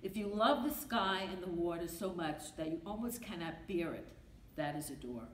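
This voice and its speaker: A woman's voice: lowish voice, normal speed. Older Brooklyn woman reading poetry. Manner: emphatic, rhythmic, repeating refrains. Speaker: poetry reader. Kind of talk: reading a poem aloud. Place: library meeting room. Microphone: podium mic from a far camera. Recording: echoey room with faint noise.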